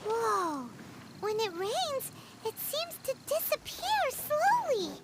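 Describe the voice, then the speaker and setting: A woman voicing a small, childlike fairy companion in an anime video game, squeaky, exclamatory and easily confused. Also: big pitch swings, takes it slowly, heavy background noise, full character voice. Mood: excited. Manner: exclamatory, cartoonish. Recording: game music playing behind.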